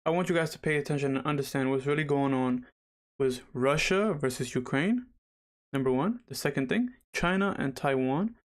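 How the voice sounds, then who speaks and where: A young man hosting a casual livestream, slightly raspy after dental surgery, chatty and rambling as he talks straight to viewers. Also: on the high side, moderate pace. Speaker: livestream host.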